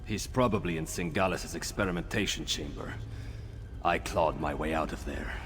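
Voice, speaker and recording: Battered werewolf, very low voice, grim and deliberate, game dialogue, long echoing reverb. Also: male voice, fairly bright tone. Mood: grim, bitter. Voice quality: deep voice. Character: battered male werewolf escapee, grim and bargaining. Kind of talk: video game character dialogue. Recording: long cavernous reverb, faint music behind.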